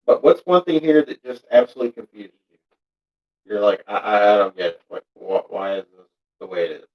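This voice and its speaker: A man's voice: fairly low pitch, lively intonation, fairly quick, lo-fi sound. A young man with a Texan accent, chatting casually on a vlog in a teasing, laid-back way. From Texas. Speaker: vlogger. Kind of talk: casual chat.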